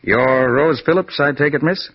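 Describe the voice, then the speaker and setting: An actor playing a confident, shrewd master detective in an old radio drama, speaking with brisk authority. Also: male voice, fairly high pitch, breathy edge, dry recording. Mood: grave, calm. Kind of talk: 1940s-50s radio drama acting. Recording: muffled, noisy vintage radio recording.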